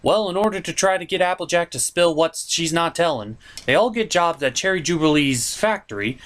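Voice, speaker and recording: A man hosting a comedic cartoon episode review, sarcastic and swearing freely, jumping between shouts and mutters. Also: mid-range voice. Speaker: host.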